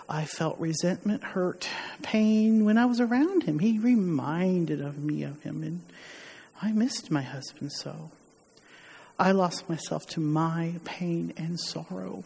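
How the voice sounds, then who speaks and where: A woman playing a grieving, ashamed widowed mother, speaking solemnly and haltingly with heavy pauses in a dramatic church monologue. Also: on the low side, mellow, slightly rough, exaggerated voice. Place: large reverberant church during a worship service. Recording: echoey hall with long reverb, muddied.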